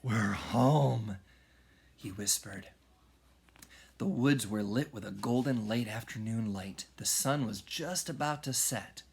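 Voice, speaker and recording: A man reading a children's story aloud in an expressive, dramatic way, moving between hushed and raised delivery. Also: fairly low pitch, a little slow, breathy edge. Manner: animated, dramatic, with hushed whispers and shouts. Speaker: read-aloud storyteller, music teacher.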